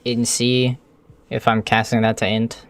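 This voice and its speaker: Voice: mid-range voice, level tone, a little slow. A streamer talking casually while working at a computer, often muttering thoughts aloud as if to themselves. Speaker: live streamer modding a video game.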